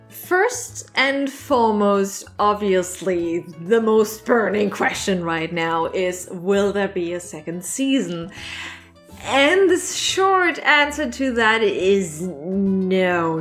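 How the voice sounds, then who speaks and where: Chatty woman talking casually to camera. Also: medium pitch. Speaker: online animator and comic creator hosting a Q&A.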